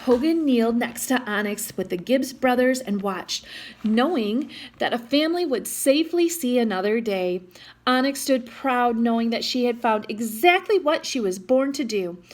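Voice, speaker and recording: A woman with a fairly high voice reads a children's storybook aloud. Her delivery is expressive, and she breaks off for chatty little asides to young listeners. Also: unhurried. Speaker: storytime reader.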